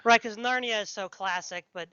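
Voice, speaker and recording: A woman's voice: mid-range voice, moderate pace, lo-fi sound, a crowd in the background. A woman chatting casually as a podcast interviewer, talking in a rambling, enthusiastic way like a fan.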